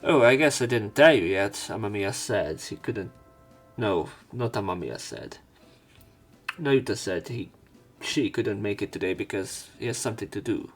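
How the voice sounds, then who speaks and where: A man's voice: fairly low pitch, animated, moderate pace, husky, faint music behind. A man reading a visual novel aloud as a let's player, with casual commentary and joking asides. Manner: joking asides between read passages.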